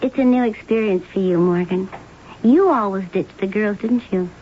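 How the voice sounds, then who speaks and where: A woman's voice: mid-range voice, moderate pace, natural voice. A woman playing a scornful femme fatale blackmailer in a radio drama, with an American accent and a pointed, taunting manner. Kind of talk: 1970s radio drama acting. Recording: muffled old radio recording, faint noise.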